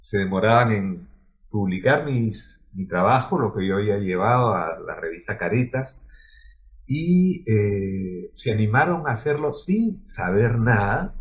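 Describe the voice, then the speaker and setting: A man, calmly telling about his own career. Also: fairly low pitch, measured pace. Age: 70s. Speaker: cartoonist guest. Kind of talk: personal storytelling.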